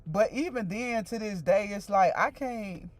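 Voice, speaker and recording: A woman's voice: medium pitch, measured pace, slight background noise. A woman in her forties hosting a casual stream, talking loosely and repeating herself as she chats straight to her viewers and answers their comments. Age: 40s. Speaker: livestream host.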